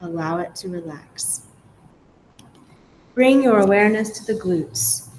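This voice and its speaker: A woman leads a guided relaxation in a mid-pitched voice, speaking very slowly. She gives gentle, measured cues and leaves space between them. There is clear background noise in the recording. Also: low-quality recording. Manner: gentle, measured, instructional cueing with pauses. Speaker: yoga nidra guide.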